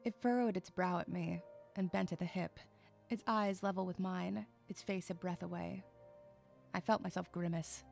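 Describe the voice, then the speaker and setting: A woman's voice: on the low side, steady tone, moderate pace, vocal fry, a little mellow, faint music behind. A woman playing a troubled, withdrawn young loner who narrates her own story in an introspective, emotive way. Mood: wary, irritated. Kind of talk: dramatic first-person story narration.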